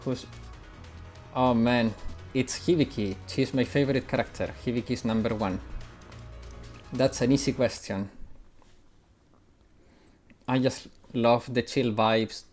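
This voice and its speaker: A man's voice: mid-range voice, fairly even intonation, measured pace, pauses often, darkish tone, soft background music. A man from Italy with a mixed British and American English accent, chatting casually on a live gaming stream and answering viewers' questions as he plays. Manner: chatty, answers chat while playing.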